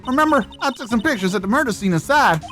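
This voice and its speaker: Woman voicing an excitable, high-pitched brash female photographer. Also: fast, soft background music. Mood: excited, eager. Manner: dramatic, exclamatory. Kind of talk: video game character voicing.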